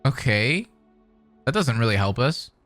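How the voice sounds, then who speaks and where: A man's voice: medium pitch, sing-song, a little slow, fairly bright tone, dry recording, faint music behind. A man streaming a game and making casual, joking reaction comments. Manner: joking, laid-back, says "bro".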